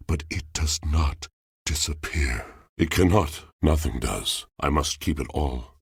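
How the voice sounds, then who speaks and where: Cavern-deep, husky, melodramatic poet machine. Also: male voice, studio quality, heavily acted. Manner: grandiloquent, poetic, dramatic declaiming. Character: melodramatic, poetry-obsessed living washing machine, brooding and heartbroken. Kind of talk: theatrical video game voice acting.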